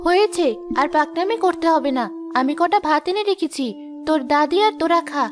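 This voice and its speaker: A woman's voice: extremely high pitch, normal speed. A woman voicing a tired, firm but loving poor village mother in a children's cartoon. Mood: firm, caring. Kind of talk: children's moral-story cartoon dubbing. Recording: music behind the voice.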